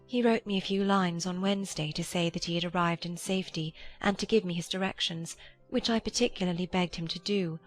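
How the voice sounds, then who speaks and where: Woman acting a sweet hopeful regency sister, lowish soft voice, composed, audiobook drama w/ music behind. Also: a little fast, lightly acted, rough recording. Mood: composed, subdued. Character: gentle, hopeful young Regency elder sister.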